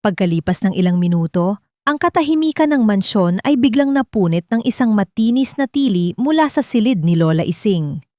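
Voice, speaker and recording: A woman's voice: mid-range voice, animated, moderate pace, mellow, no room sound, studio quality. A woman with a Filipino accent reading a scripted story as a dramatic audiobook narrator.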